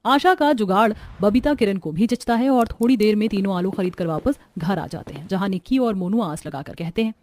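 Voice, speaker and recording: A woman's voice: mid-range voice, fairly quick, few pauses, darkish tone, a little hiss or hum. A woman narrator reading a scripted cartoon story. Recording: music behind the voice.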